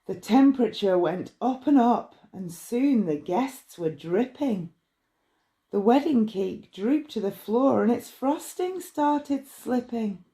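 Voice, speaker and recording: A woman reading a rhyming bedtime story in a measured, expressive way. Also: fairly high pitch. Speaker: storybook reader.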